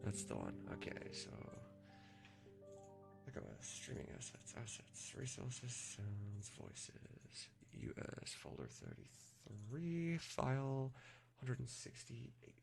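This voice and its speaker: An easygoing man with a gravelly edge, chatting casually about a game mod. His pitch moves a lot, and music plays clearly in the background. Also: wildly swooping pitch, slow pace. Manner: easygoing, directing. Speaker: mod creator. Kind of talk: casual chat on a call.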